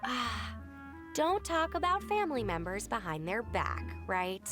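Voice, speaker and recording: A snarky young lady agent, slow drawl, music behind. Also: on the high side, very animated. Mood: snide. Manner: sarcastic, dismissive. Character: snide young woman agent.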